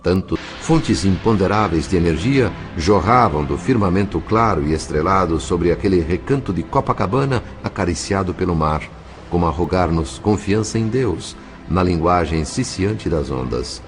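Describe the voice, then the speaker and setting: A man's voice: deep voice, lively intonation, moderate pace, music in the background. A male actor narrating solemnly and dramatically as a spirit in a Brazilian audio drama, with a Brazilian accent. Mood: solemn, tender.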